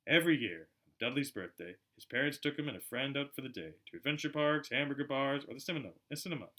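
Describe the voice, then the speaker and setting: A man narrating a storybook aloud in an expressive, dramatic way, as an amateur audiobook reader. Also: medium pitch, quick pace. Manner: animated, dramatic.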